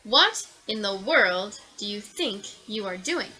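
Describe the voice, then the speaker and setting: Storybook worm, male, cranky and shouty, read by a woman; bright, lively delivery. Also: mid-range voice, takes it slowly, husky, soft background music, exaggerated voice, rough recording. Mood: indignant. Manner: indignant, shouting. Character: cranky male earthworm defending his yard.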